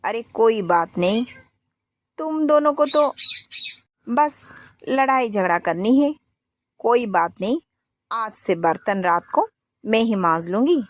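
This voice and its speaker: A woman's voice: a little high, lively intonation, slow, long pauses. A woman voicing a stern older mother-in-law. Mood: resigned. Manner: scolding, firm.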